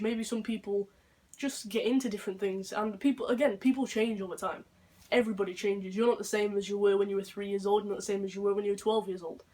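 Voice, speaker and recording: A young man with a deep voice, talking unscripted in a rambling, earnest vlog monologue. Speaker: vlogger.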